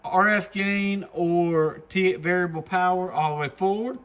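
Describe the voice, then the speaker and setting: Folksy man with a higher-pitched voice. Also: a little slow, a bit bright, a little hiss or hum. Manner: folksy, enthusiastic. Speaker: radio shop presenter. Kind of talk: casual product demo.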